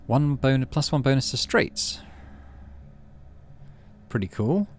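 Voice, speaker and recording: A man hosting a let's play, reading a story game aloud with expressive delivery and muttering asides about his moves. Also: mid-range voice, takes it slowly.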